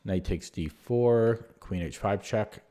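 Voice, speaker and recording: A man going over one of his own chess games move by move in a relaxed, rambling way, with a voice that is going hoarse. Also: fairly low pitch, slow. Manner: rambling, many fillers and restarts. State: losing his voice. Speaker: chess player reviewing his own game. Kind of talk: casual spoken chess analysis.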